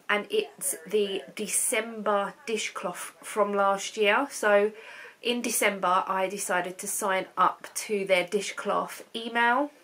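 A woman's voice: medium pitch, bright, forceful tone. A woman in her thirties with a blocked, stuffy nose from a cold, chatting to her webcam about knitting from her sofa at home, rambling with lots of ums. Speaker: knitting vlogger. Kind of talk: casual chat to camera. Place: living room at home, on a sofa.

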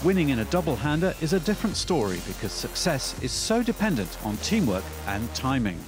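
A man's voice: mid-range voice, big pitch swings, a little fast. A man reading scripted narration for a sports documentary. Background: background music.

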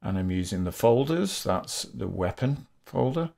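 Lower-pitched man giving a software tutorial. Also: expressive, a little slow, vocal fry. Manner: methodical, explanatory. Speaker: tutorial host, game developer. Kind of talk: unscripted step-by-step software tutorial.

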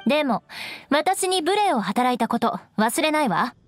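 An actress playing a proud, stoic young noblewoman knight in an anime game, speaking in a composed, haughty, measured way. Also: high-pitched, very animated, faint music behind. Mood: cool, haughty. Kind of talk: Japanese anime game voice acting.

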